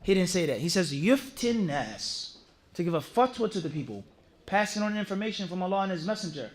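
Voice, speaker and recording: A man's voice: high voice, big pitch swings, fairly quick. A man giving an Islamic lecture to a congregation, teaching point by point in an emphatic way, with rhetorical questions and repeated phrases. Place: mosque, speaking to a live audience.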